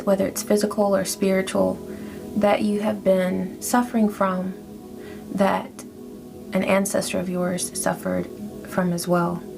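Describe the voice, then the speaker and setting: A woman giving a spiritual tarot reading to her viewers, speaking in a soft, affirming way and drawing out her phrases. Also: medium pitch, gravelly. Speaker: tarot reader. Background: background music.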